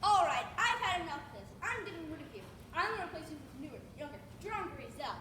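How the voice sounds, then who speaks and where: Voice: sits high, sing-song, quick pace, pushed and bright, a little hiss or hum, heavily acted. A young actress in a school play voicing a harsh, impatient male farmer. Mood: bossy. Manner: bossy, impatient. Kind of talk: school stage play acting. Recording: echoey hall, distant mic.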